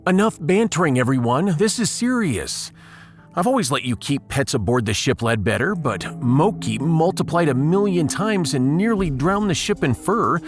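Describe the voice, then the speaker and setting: A man's voice: on the high side, expressive, normal speed, faint music behind, natural voice. A man playing a wry, authoritative and exasperated starship captain in a sci-fi audio drama. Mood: stern, fed up.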